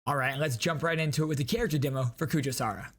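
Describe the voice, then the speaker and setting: A man's voice: a little high, very fast, fairly bright tone. A man hosting a gaming channel, giving enthusiastic, casual reaction commentary and reading out game details. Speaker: gaming YouTuber host.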